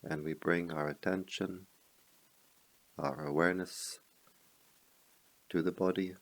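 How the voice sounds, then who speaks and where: A man leading a guided meditation as a teacher, speaking in slow, measured phrases with long pauses. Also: deep voice, fairly even intonation, a bit bright, low-quality recording.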